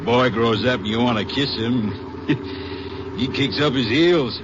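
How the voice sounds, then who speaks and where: An actor doing a seasoned, easygoing trucker, a family man who talks in a folksy drawl with loose country grammar. His voice sits mid-range and comes through a dull old radio recording. Steady hiss runs underneath the whole time. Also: medium pitch, slow pace, lightly acted. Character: veteran male trucker, father of four, folksy. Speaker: truck driver. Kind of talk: 1950s radio drama acting.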